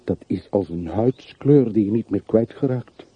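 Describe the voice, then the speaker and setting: A man's voice: fairly low pitch, moderate pace, breathy edge. An actor in a radio drama playing a brooding, bitter man from a rich family who mourns his dead in melancholy monologue. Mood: intense, bitter. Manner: melancholy, reflective, literary monologue. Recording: muffled, narrow-band old radio play recording.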